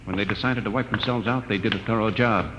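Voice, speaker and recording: An actor playing an earnest, fascinated space-expedition scientist, thoughtful and explanatory, in old radio drama style. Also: male voice, lowish voice, steady tone, quick pace, lightly acted. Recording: dull, noisy radio recording with long reverb.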